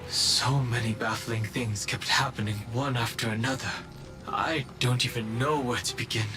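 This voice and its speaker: An actor playing a solemn, guarded young male guard in a video game, speaking in a grave, formal and measured way. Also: medium pitch, fairly even intonation, natural voice. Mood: grave, weary. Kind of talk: dramatic video game voice acting. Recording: game dialogue over clear background music.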